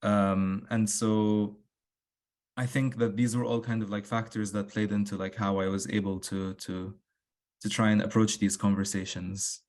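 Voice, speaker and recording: A man's voice: lowish voice, little pitch movement, a little slow, a little mellow. A man answering thoughtfully on an online panel over a video call, hesitant and often repeating words. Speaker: workshop writer.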